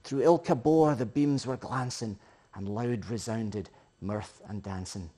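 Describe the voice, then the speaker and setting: A man's voice: medium pitch, a little slow. Scottish man dramatically reciting poetry. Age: middle-aged. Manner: theatrical, emphatic storytelling with swells and hushes. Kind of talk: dramatic recitation of a Scots narrative poem. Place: living room, indoors.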